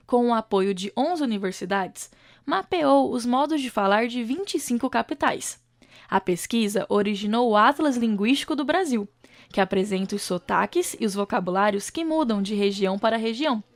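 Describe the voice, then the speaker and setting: Gravelly woman reading news voice-over. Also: a little high, dry recording. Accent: Brazilian Portuguese. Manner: measured, clear reading. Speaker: student news reporter. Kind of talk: scripted news narration.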